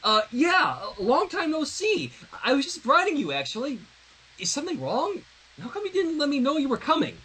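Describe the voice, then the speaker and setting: A man voicing a high, flustered teenage girl. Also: huge pitch swings, normal speed, bright, forceful tone, exaggerated voice, low-quality recording. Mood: flustered, nervous.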